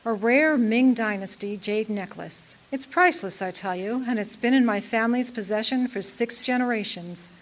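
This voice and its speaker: A woman's voice: fairly high pitch, normal speed, hardly any pauses, natural voice, rough recording. A woman playing a poised, melodramatic femme fatale client in an old-time radio detective drama. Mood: indignant, urgent. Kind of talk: 1940s-style radio detective drama acting.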